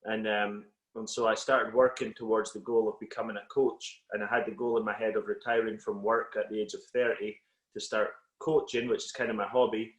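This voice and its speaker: A Scottish man whose accent has softened a little, a football coach talking as a guest on a video call in a relaxed, reflective way with many fillers. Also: fairly low pitch, a little fast, reverberant. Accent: Scottish, slightly Americanised. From Scotland. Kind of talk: casual interview on a call.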